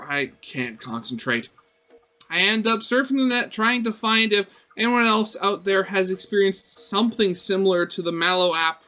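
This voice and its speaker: A young man reading a visual novel aloud as a let's play host, expressive and breaking into casual asides. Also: high-pitched.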